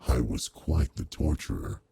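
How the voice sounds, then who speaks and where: A man plays a courteous but commanding demon lord in an intimate audio roleplay. His voice is extremely low and very husky, with a dark, mellow color. He speaks in measured, formal phrases, like a boss who quietly cares. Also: lively intonation, full character voice. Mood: probing, concerned. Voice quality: very deep, gravelly, husky. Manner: measured, formal, authoritative with soft concern. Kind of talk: roleplay audio drama, intimate one-to-one address.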